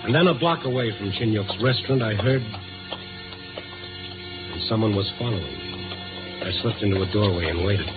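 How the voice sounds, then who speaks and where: An actor playing a tough, sardonic newspaper reporter, speaking tersely and wryly in a 1950s radio drama. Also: male voice, on the low side, expressive, normal speed, long pauses, close to an everyday voice. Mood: brooding narration. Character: tough, sardonic newspaper reporter narrating his night investigations. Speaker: lead actor and narrator. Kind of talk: 1950s radio drama acting, noir narration. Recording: tinny 1950s radio recording, music behind.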